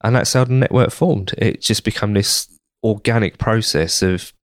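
Croaky low-voiced man, chatty guest. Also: normal speed, no room sound, studio quality. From United Kingdom. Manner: chatty, rambling with restarts. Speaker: podcast guest. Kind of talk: casual interview answers.

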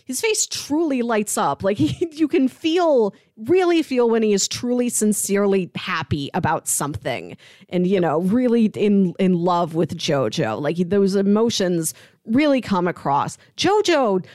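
Animated woman chatting, lively pitch, dry sound. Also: a little slow, dry recording. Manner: animated, opinionated, peppers talk with "like". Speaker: podcast co-host reviewing a TV drama. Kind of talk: casual chat.

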